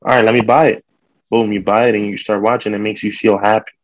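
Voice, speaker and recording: A man's voice: fairly low pitch, little pitch movement, quick pace, no echo, low-quality recording. A man in casual conversation, talking informally with street slang.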